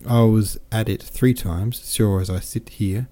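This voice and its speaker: A man's voice: on the low side, steady tone, unhurried, mellow, voice pushed up. A man voicing a jovial, boastful French army captain who chatters in exclamations.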